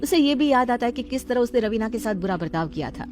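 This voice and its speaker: A woman's voice: a little high, animated, quick pace. A woman storyteller reading a scripted moral tale as narrator.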